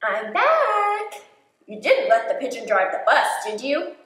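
A woman's voice: sits high, huge pitch swings, measured pace, fairly bright tone, heavily acted. A woman voicing a friendly male bus driver who gives emphatic instructions in a children's picture book. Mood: relieved. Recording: echoey classroom.

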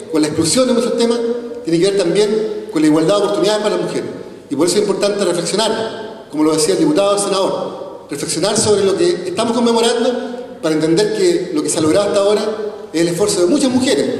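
A man's voice: sits high, darkish tone. A man in his forties, a mayor giving an earnest, rhetorical speech from a podium, often adding tag questions. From Chile. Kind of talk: formal public speech. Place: large hall, on stage at a podium. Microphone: podium microphone, recorded from a far camera. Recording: echoey hall from far off.

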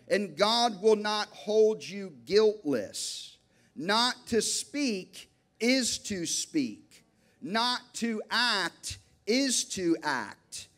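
A man in his thirties preaching a sermon on stage into a handheld mic, emphatic and deliberate, with dramatic pauses. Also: sits high, a bit bright. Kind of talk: sermon to a church congregation. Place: large dark church auditorium, on stage before an audience. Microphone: handheld microphone.

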